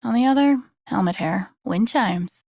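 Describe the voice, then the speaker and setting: A woman's voice: mid-range voice, animated, a little slow, gravelly, a little mellow, dry recording, clean studio sound. A woman voicing an introspective, wry and empathetic young woman in a video game, casually muttering her thoughts to herself in a naturalistic way. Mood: wry, musing. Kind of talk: naturalistic game character inner monologue.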